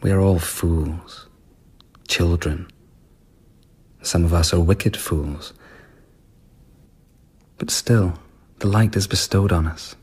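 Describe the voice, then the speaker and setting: An actor playing an eccentric court performer and earnest mystic in a radio drama, speaking with weighty, declamatory solemnity. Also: male voice, sits low, steady tone, takes it slowly, pauses often, darkish tone, slightly rough, full character voice. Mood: reverent, earnest.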